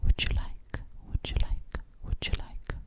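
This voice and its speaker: A young woman doing close-up ASMR as a gentle alien girl, whispering breathily and soothingly right at the microphone, repeating words and phrases. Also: a little slow. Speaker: ASMR creator. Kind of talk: ASMR personal attention roleplay as an alien girl. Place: small dark indoor space, very close up. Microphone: large microphone right at the lips.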